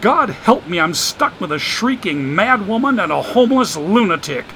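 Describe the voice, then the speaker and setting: A gruff, exasperated man in a storm-wrecked mansion, short on patience, as a male narrator puts him on. The voice is fairly high and bright, with a forceful edge. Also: sing-song, normal speed, a little hiss or hum, exaggerated voice. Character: irritable man in his own mansion, short-tempered.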